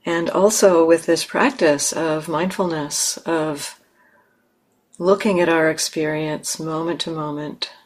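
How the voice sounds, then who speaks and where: A woman leading a guided meditation in measured, instructive phrases with long pauses. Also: low voice, steady tone. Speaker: meditation teacher.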